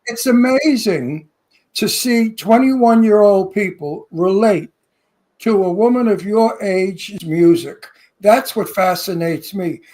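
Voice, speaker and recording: A man's voice: high-pitched, a little mellow. A man in his eighties co-hosting a celebrity interview show, talking in an effusive, emphatic way with lavish compliments and restarted phrases. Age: 80s. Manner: repeats words when starting sentences. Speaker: co-host.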